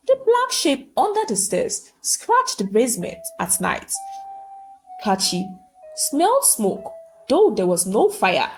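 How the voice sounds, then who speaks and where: High-pitched, very animated woman storyteller. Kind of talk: scripted folktale narration.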